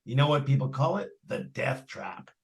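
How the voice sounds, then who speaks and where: A man's voice: mid-range voice, lively intonation, moderate pace, vocal fry. A man playing an eager, talkative property salesman in old-time radio suspense style, with a folksy, persuasive manner. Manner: folksy, persuasive, colloquial phrasing. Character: eager, talkative salesman trying to unload a haunted house.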